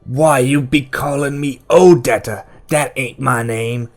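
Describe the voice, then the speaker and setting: A man voicing a mean, defiant woman in an audiobook, with a hoarse edge and heavy dialect. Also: takes it slowly, lightly acted. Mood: defiant, nervous. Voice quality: hoarse, rasping. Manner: shrill, heavy dialect, mocking.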